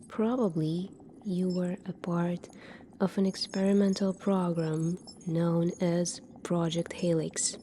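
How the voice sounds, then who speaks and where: A woman performing an ASMR sci-fi roleplay as a calm, secretive military doctor, speaking softly and deliberately, at times near a whisper. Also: fairly low pitch, slight background noise.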